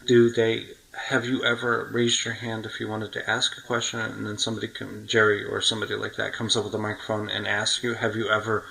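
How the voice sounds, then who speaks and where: A man's voice: on the low side, monotone, a little fast, vocal fry, few pauses, sharp tone, low-quality recording. A man hosting a casual podcast interview, asking questions in an eager, insistent way. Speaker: podcast host.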